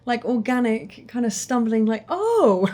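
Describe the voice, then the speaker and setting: A woman's voice: fairly high pitch, sing-song, unhurried, mellow. A woman hosting a casual interview, chatty and encouraging, with an enthusiastic way of talking. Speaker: host.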